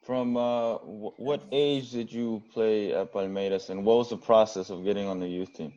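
A man's voice: lowish voice, fairly even intonation, normal speed, warm tone. A man hosting a podcast interview, friendly and upbeat with slangy greetings. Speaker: podcast host. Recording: dull lo-fi audio.

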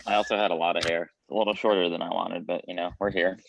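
Deep, gravelly man chatting casually. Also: level tone, fast, a loud crowd. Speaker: podcast cast member. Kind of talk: casual chat. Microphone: video call. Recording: dull, lo-fi call audio.

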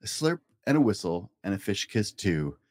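A man reading a rhyming children's picture book aloud in a playful, expressive way, as a storytime host. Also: fairly low pitch, moderate pace, dry recording. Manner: playful, acts out animal and object sound effects.

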